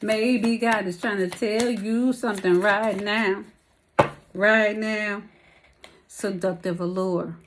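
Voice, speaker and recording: A woman's voice: mid-range voice, fairly even intonation, slow, noisy background. Chatty woman losing her voice. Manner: rambling, slangy, calls listeners baby and y'all. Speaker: tarot reader. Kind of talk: casual spiritual tarot reading.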